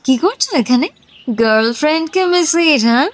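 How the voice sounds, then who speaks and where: A woman's voice: high-pitched, lively intonation, normal speed, slight background noise. A woman playing a playful, coy young woman in a romantic audio drama. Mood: playful teasing. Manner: teasing, coy. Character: playful, teasing young former schoolgirl, now working woman.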